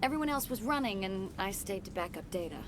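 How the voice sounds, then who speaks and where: A woman's voice: mid-range voice, lively intonation, fast talker, noisy background, natural voice. A woman playing an anxious, apologetic young research assistant in a video game, speaking hesitantly and earnestly. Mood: anxious, uneasy. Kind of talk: video game dialogue acting.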